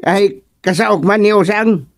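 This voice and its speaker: A man's voice: high-pitched, unhurried, no echo, studio quality. A man playing a grumbling village chairman in an Ilocano radio comedy, blunt and questioning, with an Ilocano accent. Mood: irritated. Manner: blunt, questioning, muttering interjections. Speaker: actor in radio comedy drama. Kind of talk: Filipino radio drama comedy acting.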